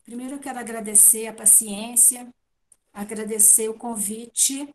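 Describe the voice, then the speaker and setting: A woman's voice: fairly high pitch, fairly even intonation, moderate pace, darkish tone. A middle-aged Brazilian woman giving a didactic devotional talk, asking and answering her own questions, speaking into an earphone headset on a video call. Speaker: guest speaker at a spiritist talk. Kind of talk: devotional talk, explaining read passages. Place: at home indoors on a video call. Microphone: wired earphone headset.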